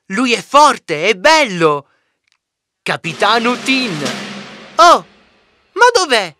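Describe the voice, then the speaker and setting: A woman's voice: on the high side, sing-song, slow, sharp tone, dry recording, soft background music, exaggerated voice. A woman voicing an emotional small child who loves toys, exclaiming in a children's fairy tale. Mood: excited, searching.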